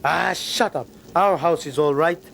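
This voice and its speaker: A man's voice: a little high, unhurried, vocal fry, a bit bright, a little hiss or hum. An actor playing a stubborn, touchy village man in an educational film, grumbling and defensive. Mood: indignant. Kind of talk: acted educational film dialogue.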